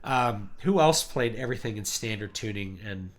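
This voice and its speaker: Animated man with a sick, worn voice. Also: moderate pace. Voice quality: deep voice. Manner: rambling, animated, acts out quotes. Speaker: livestream host. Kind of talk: casual chat about music.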